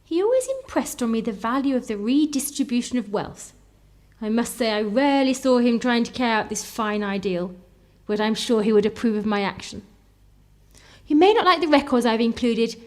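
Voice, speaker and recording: A woman's voice: fairly high pitch, animated, normal speed, a little mellow, lightly acted. An actress playing a kindly, polite woman voicing her own letter in a radio drama. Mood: gracious.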